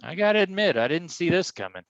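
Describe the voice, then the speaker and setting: An actor playing a hard-boiled, blustering and rather dim private detective, talking tough slang in a comic noir staged reading. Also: male voice, high-pitched, very animated, fast, vocal fry, dry recording, highs cut off, exaggerated voice. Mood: grudging swagger.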